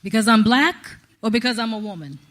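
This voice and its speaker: A woman's voice: fairly high pitch, expressive, fairly quick, close to an everyday voice. Woman playing a curt female federal agent. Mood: businesslike, curt. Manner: businesslike, curt, formal. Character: brisk, no-nonsense female FBI special agent in 1974. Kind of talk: acted witness interview in audio drama.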